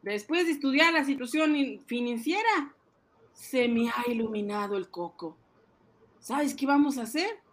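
A man voicing an excitable, boastful ice-cream seller who fancies himself a banker, cajoling and exclaiming in a comic radio drama. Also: very high voice, unhurried, pitched above the performer's own voice, heavily acted. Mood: scheming, excited.

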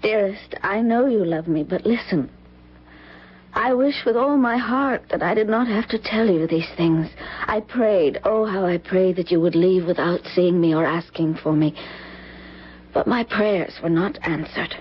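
Tormented young heroine, old radio drama, solemn intensity, slightly veiled husky tone, muffled recording. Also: female voice, on the low side, a little slow. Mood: sorrowful, tender. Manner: intense, melodramatic, solemn phrasing. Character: tormented young woman burdened by a dark heritage. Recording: dull vintage radio broadcast recording.

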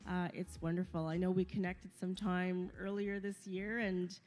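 Mellow-voiced woman talk show host. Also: lowish voice, little pitch movement, moderate pace. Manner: warm, encouraging, with many fillers and repeated words. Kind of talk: interview conversation.

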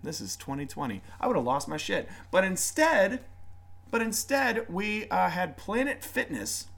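A man's voice: high-pitched, very animated, vocal fry, fairly bright tone, a little hiss or hum. A man co-hosting a casual podcast, rambling and joking. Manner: rambling, joking, with quick put-on voices. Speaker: podcast co-host. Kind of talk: casual chat.

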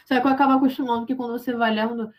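Young Brazilian woman, hesitant and fairly high. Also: little pitch movement, very fast. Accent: Brazilian Portuguese. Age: young adult. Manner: hesitant, frequent fillers and restarts. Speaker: interviewee, university student. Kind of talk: conversational interview answers.

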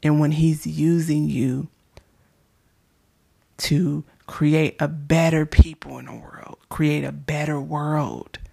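A woman podcast host who is losing her voice, giving gentle faith-based encouragement in a hoarse, soft-spoken way that often drops into whispers. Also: deep voice. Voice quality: hoarse, gravelly.

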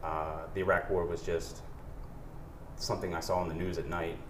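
A man's voice: low-pitched, little pitch movement, moderate pace, noisy background. A man in his thirties hosting a podcast, who asks questions in an earnest, curious way. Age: 30s. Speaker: podcast host. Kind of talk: casual interview podcast. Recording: roomy echo.

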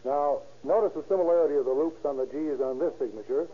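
Male actor as handwriting expert, precise, deferential, slightly high pitch, old-time radio acting, thin tinny sound. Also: quick pace, lightly acted. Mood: matter-of-fact. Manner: precise, matter-of-fact. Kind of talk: 1930s-40s radio crime drama acting.

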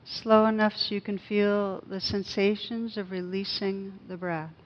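A woman leading a guided meditation as a teacher, speaking gently and unhurriedly with pauses. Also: medium pitch, steady tone, highs cut off.

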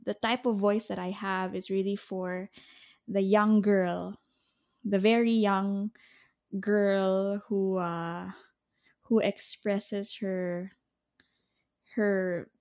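A young woman talks about her singing in a relaxed interview. Her voice is mellow and warm, at a medium pitch. She speaks haltingly, full of fillers and restarts. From Philippines. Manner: hesitant, many fillers and restarts, occasional laughs. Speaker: opera singer, soprano guest. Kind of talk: casual interview chat.

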